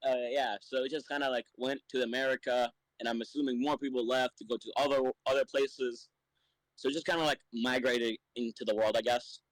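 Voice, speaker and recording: A young man in a casual podcast chat, answering questions slowly and haltingly, with many fillers. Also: medium pitch, fairly even intonation, no echo, rough recording. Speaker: podcast guest being questioned.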